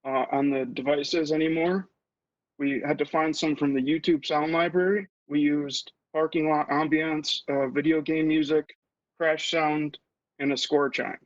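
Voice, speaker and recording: A young man presents slides as a student in a monotone, slightly high voice. The sound is dull and lo-fi. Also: measured pace, dry recording.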